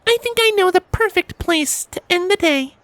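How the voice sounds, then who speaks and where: A man's voice: very high voice, animated, moderate pace, pushed and bright, dry recording, pitched above the performer's own voice, full character voice. A man voicing a cheerful, eager young female pony with a reassuring manner in a storybook reading. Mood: excited.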